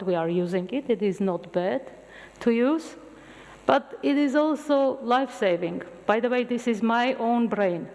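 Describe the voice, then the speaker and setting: A middle-aged woman whose first language is Armenian gives an open university lecture in English, telling stories with halting restarts. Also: medium pitch. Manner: animated storytelling with halting restarts. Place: large lecture hall. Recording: long hall reverb.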